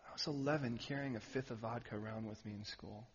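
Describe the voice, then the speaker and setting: A man preaching a sermon, animated and joking with the audience, sometimes shouting out a word. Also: mid-range voice, fast. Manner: animated, shouting at peaks, jokey asides to the audience. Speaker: pastor. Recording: muffled hall recording.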